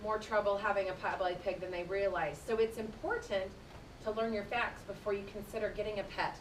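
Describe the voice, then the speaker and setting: A woman's voice: steady tone, a little fast. A woman teacher reading aloud to a class of children, explaining things conversationally and addressing them directly. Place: indoor room, speaking to a class. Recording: roomy, distant mic with rumbling noise.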